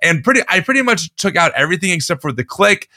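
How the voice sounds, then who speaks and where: A man with a slightly hoarse voice hosts a casual podcast chat, telling a long story with relaxed restarts. His tone is bright and forceful. He is recorded close on a dry studio mic. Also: high-pitched, fast, dry recording. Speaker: podcast host.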